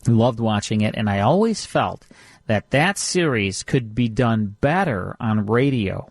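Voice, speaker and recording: A man's voice: fairly low pitch, expressive, measured pace, dry recording. A middle-aged man, reminiscing casually as a radio host in an enthusiastic, talkative manner. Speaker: radio show host and producer.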